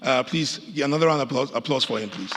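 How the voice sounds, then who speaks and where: A man's voice: medium pitch, expressive, fast, big-room sound, background noise. A man emceeing a conference, handing over to the next speaker with many fillers. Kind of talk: stage emcee talk. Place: large conference hall.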